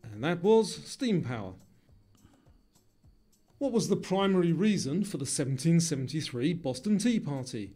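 A male quiz narrator with a fairly high, very mellow voice. He reads scripted questions in a measured way. Faint music plays behind him. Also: very animated.

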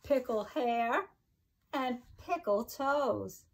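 Slow-paced woman reading to children. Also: on the high side, expressive. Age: middle-aged. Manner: slow, deliberate, sing-song delivery addressed to young children. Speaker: teacher reading a picture book aloud to children. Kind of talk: expressive children's read-aloud with chatty asides. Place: kitchen-like room at home, indoors.

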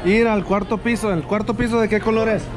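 High-voiced Mexican dad vlogging in a crowd. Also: male voice, steady tone, quick pace, over music. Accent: Mexican Spanish. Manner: upbeat, talks to camera and his kids. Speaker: family vlogger. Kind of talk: vlog narration while walking. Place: busy indoor store. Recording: loud crowd and noise.

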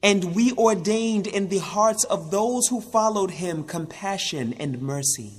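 Slow, high-voiced man reading scripture. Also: expressive. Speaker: narrator of translations and hadith. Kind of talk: scripted religious audiobook reading.

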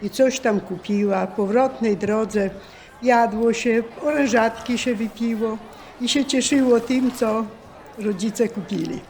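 A woman's voice: a little high, a little slow, darkish tone, a little hiss or hum. An older woman, sixty or over, recalling family memories. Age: 60s or older. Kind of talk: spoken reminiscence.

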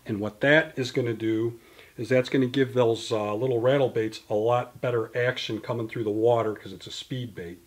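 A man's voice: mid-range voice, steady tone, moderate pace. A man in his fifties, a guest from a tackle company, explaining fishing gear in detail in a studio interview. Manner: detailed, explanatory, gesturing with products in hand. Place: indoor studio in front of a banner.

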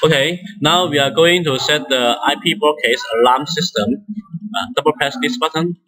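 A man who speaks English as a non-native language, giving a step-by-step software tutorial in a halting, hesitant way with frequent restarts. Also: on the high side, low-quality recording. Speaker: instructor demonstrating software.

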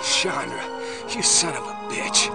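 An actor playing a brash, cocky military field commander with a gravelly voice in a game cutscene. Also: male voice, mid-range voice, lively intonation, a little slow, over loud music. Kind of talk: dramatic game cutscene acting.

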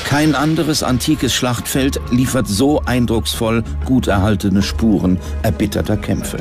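A man narrates a documentary from a script, with clearly audible music underneath. His delivery is measured and dramatic, in a medium-pitched voice. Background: background music.

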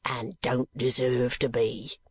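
A woman doing a gruff, drawling old keeper. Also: very deep voice, flat delivery, slow, a little mellow, heavily acted. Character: gruff working-class male asylum keeper, sulky.

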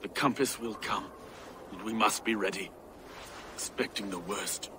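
An actor playing a grave, wary, steadfast soldier in a video game, with earnest, deliberate phrasing. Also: male voice, lowish voice, background noise, close to an everyday voice. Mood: resolute. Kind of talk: dramatic video game acting.

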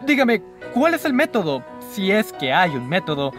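A man playing an earnest young gentleman who narrates and is easily astonished, in a student radio drama. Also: high voice, very animated, normal speed. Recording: dry voice over soft music.

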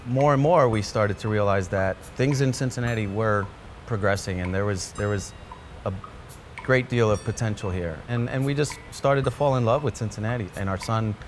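Reflective chef. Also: male voice, lowish voice, slight background noise. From born in Colombia, raised in Queens, New York. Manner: reflective, halting, many restarts and "you know" fillers. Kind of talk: documentary interview. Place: restaurant dining room. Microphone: off-camera interview mic, seated close framing. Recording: music bed under the voice.

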